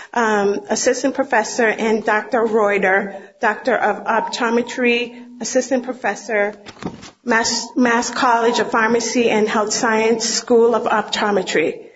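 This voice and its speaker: A woman moderator reading announcements and introducing speakers at a conference, halting and tripping over titles. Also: on the high side, a bit bright, slight background noise. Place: conference room. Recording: dull lo-fi lecture recording.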